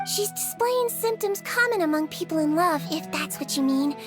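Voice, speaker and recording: A very high, gentle healer girl. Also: expressive, moderate pace, over music. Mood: calm. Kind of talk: video game voice acting.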